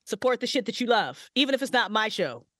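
A young woman voice actress talks on a podcast from her home recording booth, loose and animated, with plenty of swearing and laughter. Her delivery rises and falls a lot, and the close mic leaves her sound dry and dead. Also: a little high, quick pace, no echo. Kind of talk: casual chat.

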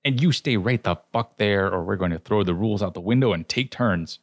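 A man's voice: fairly low pitch, a little fast, no echo, studio quality. A man voicing a peevish, foul-mouthed male trickster god who snaps at everyone. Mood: threatening. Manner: exasperated, snapping.

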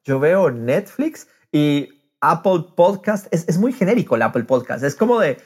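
A man with a fairly high, husky voice talks casually as if on a podcast. His pitch swings widely and lively, and he slips English phrases into his sentences. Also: normal speed. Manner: drops English phrases into Spanish. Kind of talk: casual conversational podcast talk.

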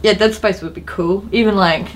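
A woman's voice: mid-range voice, fairly even intonation, normal speed, a little hiss or hum. A woman in casual conversation as a podcast co-host. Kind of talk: casual chat.

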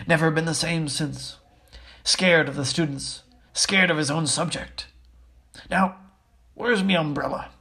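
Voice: mid-range voice, steady tone, measured pace, pauses often, sharp tone. A storyteller voicing a big, hearty, rustic giant of a man with a blunt, folksy manner. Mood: confiding.

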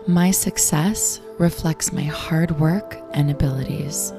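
A young woman with a low, raspy voice guides listeners through calm affirmations, speaking slowly and soothingly. Gentle music plays clearly underneath her throughout. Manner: slow, soothing affirmation reading. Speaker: affirmation and meditation host. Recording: clear music bed behind voice.

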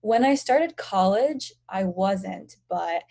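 A young American woman, a pharmacy student, answering interview questions casually with many fillers and pauses. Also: medium pitch, vocal fry. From United States. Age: young adult. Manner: relaxed, hesitant with frequent fillers.

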